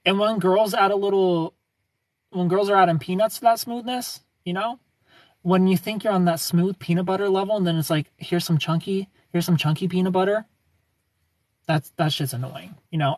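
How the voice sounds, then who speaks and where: A man in his thirties hosting a casual livestream, reading viewer comments and greeting them by name in a smooth, laid-back way. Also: sits high, normal speed, darkish tone, no echo. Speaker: livestream host.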